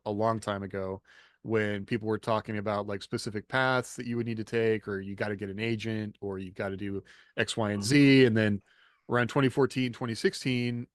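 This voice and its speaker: A man hosts a casual podcast panel in a fairly low voice. He speaks conversationally, and the sound is dry with no room around it. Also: dry recording. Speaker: podcast host.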